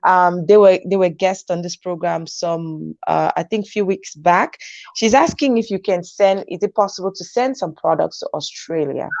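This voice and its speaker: A woman's voice: lowish voice, moderate pace, gravelly, darkish tone, dry recording. A woman hosts a live online talk show, reading out viewer comments and encouraging her guest, with frequent laughter. Her voice comes through a remote call, dry with no room sound, and slightly dull and muffled. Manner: encouraging, laughs often, reads comments aloud.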